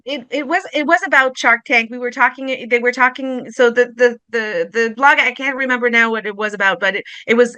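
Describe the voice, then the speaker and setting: Woman, bright crisp voice. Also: on the high side, dry recording. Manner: hesitant, repeating words. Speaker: French translator guest. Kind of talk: casual panel discussion.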